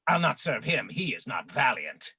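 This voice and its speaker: Gravelly fish monster servant, grovelling and bitter, swoops around, sharp edge, old-timey verse radio play. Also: male voice, medium pitch, sing-song, normal speed, no room sound, full character voice. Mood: fawning, scheming. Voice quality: raspy, gravelly. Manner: grovelling and bitterly indignant, archaic verse speech. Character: fawning, vengeful half-fish island monster, male servant. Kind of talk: Shakespearean radio play acting.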